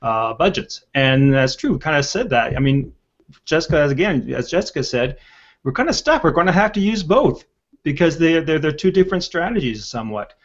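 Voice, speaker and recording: A man's voice: on the high side, big pitch swings, fairly quick. A man hosting a casual online panel discussion, moderating and reading out comments over a video call. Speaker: host. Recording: dull lo-fi video call audio.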